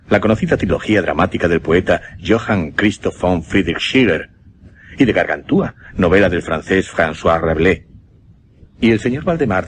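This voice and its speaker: Gentleman mesmerist, low voice, animated delivery, formal and deliberate, for radio suspense. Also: a little hiss or hum. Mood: earnest, deliberate. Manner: formal, deliberate, measured with dramatic pauses. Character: earnest gentleman mesmerist recounting his uncanny experiment. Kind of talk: Spanish suspense radio drama acting.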